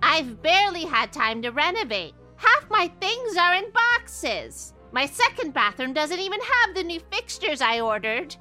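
A woman's voice: very high voice, sing-song, unhurried, few pauses, sharp tone, faint music behind, exaggerated voice. An actress playing a blunt, cranky, vengeful old witch in a comedy horror radio play. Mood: irritated. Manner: blunt, dramatic, scolding. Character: cranky old witch woman, vengeful, foul-mouthed homeowner.

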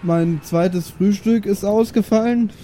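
Good-natured, bewildered male dwarf, played by a man: higher-pitched, slow, soft-toned, fantasy audio play. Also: a little hiss or hum. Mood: surprised, relieved.

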